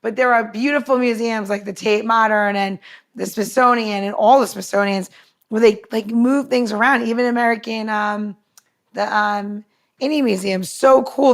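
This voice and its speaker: A woman's voice: a little high, fairly even intonation, moderate pace. A woman co-hosting a podcast in casual chat, talking in an informal, meandering and enthusiastic way. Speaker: podcast co-host.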